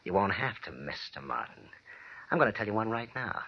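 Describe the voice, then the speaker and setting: A man's voice: fairly low pitch, big pitch swings, fairly quick, gravelly, breathy edge, heavily acted. An actor playing a smug, mock-polite gunman who drawls taunting threats in a 1940s radio detective drama. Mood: taunting menace. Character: menacing gambler's gunman, smug, mock-polite tough guy. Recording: dull, lo-fi 1940s radio recording.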